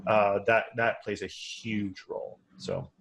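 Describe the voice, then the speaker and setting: A man's voice: fairly low pitch, little pitch movement, slow pace, gravelly, a bit bright. A man, not a native English speaker, teaching a webinar lecture in a conversational way with frequent restarts and repeated words. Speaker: course instructor.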